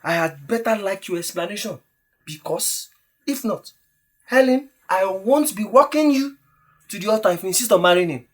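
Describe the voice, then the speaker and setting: An indignant father of the bride played by a man in an audio drama. He blusters and scolds protectively, his voice pushed up high. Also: lively intonation, normal speed.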